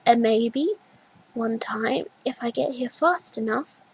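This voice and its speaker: A woman voicing a small toddler girl who is earnest and gentle, speaking in simple childlike words. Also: fairly high pitch, takes it slowly, breathy edge, full character voice, low-quality recording. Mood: soft.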